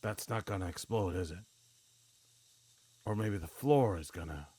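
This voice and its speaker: A man's voice: low-pitched, moderate pace, warm tone, slightly rough. A man giving casual, offhand gameplay commentary, half muttering to himself as he practices voice acting.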